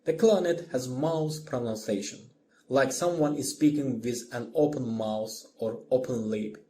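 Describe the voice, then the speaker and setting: A man giving a scripted lecture as a voice-over, in a measured, explanatory manner. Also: mid-range voice. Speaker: music lecturer.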